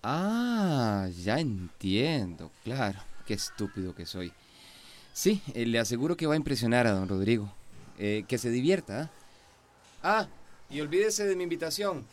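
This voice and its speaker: An actor playing a nervous, smitten male suitor in a radio drama, halting and awkward. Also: mid-range voice, very animated. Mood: hurt, bitter. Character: nervous, bashful, smitten suitor, quick to jealousy.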